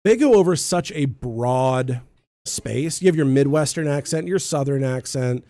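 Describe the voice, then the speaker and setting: A man's voice: medium pitch, animated, unhurried, mellow, no echo. An American man co-hosting a casual discussion, explaining details and trivia in a knowledgeable way. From United States. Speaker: podcast co-host. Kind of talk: casual explanatory chat about a TV show.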